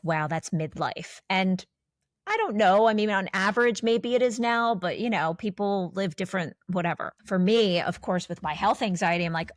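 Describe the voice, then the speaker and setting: A woman's voice: medium pitch, no echo, studio quality. A woman in her forties co-hosting a podcast, talking candidly in a rambling, casual way with many restarts. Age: 40s. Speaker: podcast co-host.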